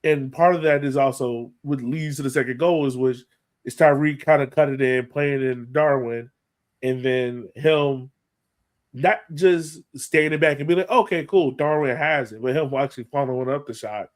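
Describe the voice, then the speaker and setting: A man hosting a sports podcast, talking through a match in an animated, casual way and often checking his points with a quick "right?". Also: medium pitch. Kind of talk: casual match analysis chat.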